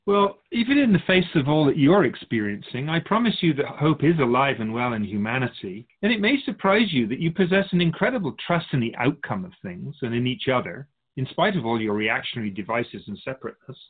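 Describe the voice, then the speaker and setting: Man playing a lively, husky otherworldly soul keeper. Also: medium pitch, narrow, tinny audio. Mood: reassuring. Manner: measured, philosophical, musing, addressing humanity as an outsider. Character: wise, patient otherworldly keeper of souls, amused and reassuring. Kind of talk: radio drama dialogue.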